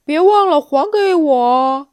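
A wise storybook elephant, voiced by a woman, takes its time over every phrase. The delivery is calm and measured, fairly high in pitch, with a close, dry sound. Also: no room sound. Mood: calm, detached. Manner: slow, deliberate, measured delivery. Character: wise, calm, unhurried elephant, mildly philosophical. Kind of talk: children's storybook character voice.

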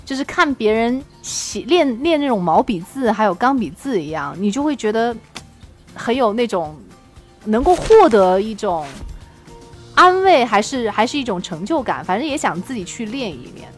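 A woman's voice: fairly high pitch, sing-song, moderate pace, soft background music. A woman co-hosting a podcast in a casual, effusive, conversational way. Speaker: podcast co-host. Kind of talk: casual chat.

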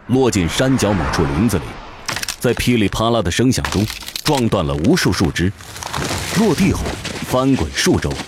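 A man delivering scripted narration as a voice actor, in a dramatic, measured storytelling manner. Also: on the low side. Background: music.

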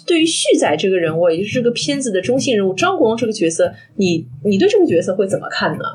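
A woman's voice: medium pitch, big pitch swings, fast talker, a little mellow, slightly rough. A woman co-hosting a podcast, talking through a film in a reflective, analytical, conversational way. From Shanghai, China. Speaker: podcast co-host.

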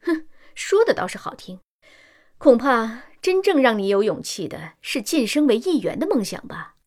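A principled female machine operator in a sci-fi radio drama. Her fairly high voice moves in lively swings as she challenges others, sardonic, accusing and sharp-tongued. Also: normal speed. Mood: scornful. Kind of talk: Chinese science-fiction radio drama acting.

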